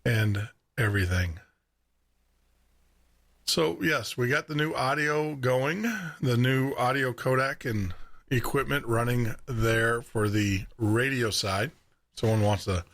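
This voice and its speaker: Chatty male radio host, deep baritone with gravel, talking into a studio mic. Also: unhurried, a bit bright. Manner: chatty, laughs often, repeats words. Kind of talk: casual live radio chat. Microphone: studio microphone with headphones.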